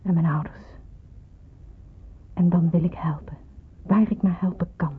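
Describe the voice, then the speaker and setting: Hesitant young nurse, thin old recording. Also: female voice, lowish voice, fairly even intonation, a little slow, breathy edge. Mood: brooding, troubled. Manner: hesitant, earnest. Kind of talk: radio drama acting. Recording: muffled, narrow-band old radio play, hissy.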